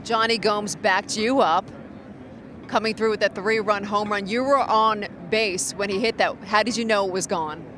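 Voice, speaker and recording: Female sports reporter, middle pitch, interview questions, noisy stadium surroundings. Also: fairly even intonation, moderate pace. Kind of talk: post-game interview questions. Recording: noisy outdoor stadium ambience.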